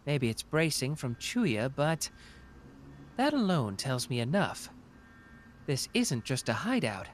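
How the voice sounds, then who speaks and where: A man playing a confident, smug young male detective genius in a scripted anime audio roleplay, teasing and self-assured with dramatic pauses. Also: medium pitch, very animated, a little hiss or hum. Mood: brooding, reflective.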